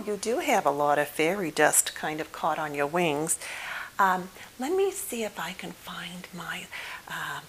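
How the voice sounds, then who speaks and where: Woman acting as a gentle therapist, ASMR roleplay, soft-spoken and whispery, very slow, hesitant and soothing, faint hiss. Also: sits low, heavily acted, low-quality recording. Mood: gentle, delighted. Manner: hesitant, soothing, reassuring, with many soft pauses. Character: gentle, caring woman psychotherapist counseling a fairy client. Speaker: ASMR performer.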